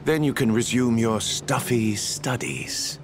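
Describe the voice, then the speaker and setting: A man voicing a cold, dismissive villain who speaks in a curt, measured way. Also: medium pitch, vocal fry, over music.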